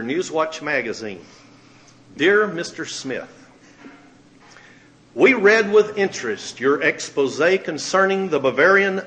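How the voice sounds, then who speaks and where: Male reader, bit high voice, dramatic letter reading with lots of pitch movement. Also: sharp tone. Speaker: magazine editor and radio minister.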